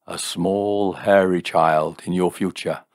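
A man's voice: sits low, fairly even intonation, slow pace, vocal fry, no room sound, studio quality, exaggerated voice. A man voicing a solemn, mystical male seer in a story reading, making portentous pronouncements.

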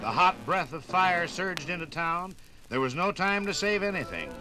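Dramatic high-voiced American radio narrator man. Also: lively intonation, low-quality recording. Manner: dramatic, deliberate storytelling. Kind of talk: 1950s radio documentary scripted narration. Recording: vintage 1950s radio LP. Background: dramatic music.

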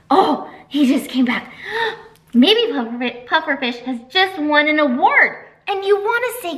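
Woman, children's librarian, very high bright voice, animated gasps, webcam in small library room. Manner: animated, exaggerated gasps and expressive gestures. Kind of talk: children's storytime reading and singing.

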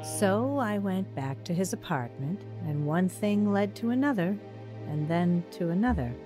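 A woman playing a narrator who looks back on her troubled youth in measured, reflective audio drama storytelling. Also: medium pitch, animated, over music, lightly acted. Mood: reflective, regretful. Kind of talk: scripted first-person dramatic narration.

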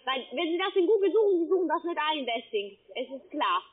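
A high, animated woman's voice with a foreign accent comes through a tinny phone line. She is an insistent, non-native call center agent who cuts in with halting phrasing. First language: not German. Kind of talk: phone sales conversation.

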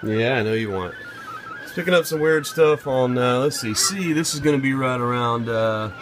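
A man's voice: mid-range voice, normal speed. A man thinking aloud informally as he gives a casual home demonstration as a radio hobbyist, sometimes talking aside to a child. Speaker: amateur radio hobbyist filming a video. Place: small room at home, indoors. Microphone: handheld phone or camera, held by speaker. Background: shortwave radio sounds and music.

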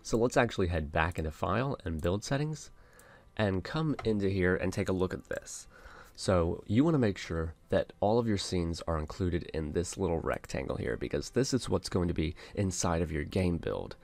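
A man narrating a casual software tutorial, explaining things step by step as an instructor. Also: low voice, animated, normal speed, vocal fry.

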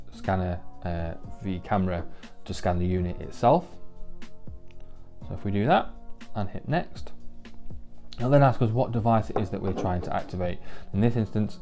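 A man's voice: low voice, warm tone. A young British man giving an informal, plain-spoken product walkthrough, explaining things step by step in an indoor office. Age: young adult. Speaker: technical manager presenting a product walkthrough. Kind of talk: informal instructional product demonstration. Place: indoor office, seated at a table.

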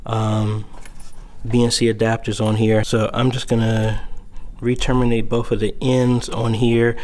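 A man in his thirties explaining a camera install in a church sanctuary while he works, talking through each step, recorded on a phone held at arm's length. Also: medium pitch. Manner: relaxed, explanatory, thinking aloud while working. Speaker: church video system installer. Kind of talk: casual how-to vlog. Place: church sanctuary, large room, indoors. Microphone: handheld phone camera, arm's length.

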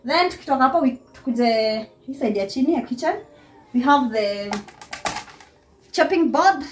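A young Kenyan woman with a Kenyan accent gives a lively, laughing house tour, filmed on a handheld phone in a small apartment. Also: sits high, normal speed, slight background noise. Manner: lively, laughing often, talks straight to viewers. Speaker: vlogger giving a house tour. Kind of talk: casual chatty house tour mixing English and Swahili. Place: small apartment, indoors and on a balcony. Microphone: handheld phone camera.

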